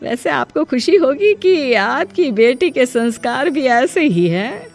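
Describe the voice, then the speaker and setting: A woman's voice: high-pitched, big pitch swings, takes it slowly, soft background music, exaggerated voice. Woman acting a firm, proud mother-in-law. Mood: sarcastic. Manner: firm, chiding. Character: proud, sociable older mother-in-law, firm matriarch of house. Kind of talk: Hindi cartoon moral story dialogue.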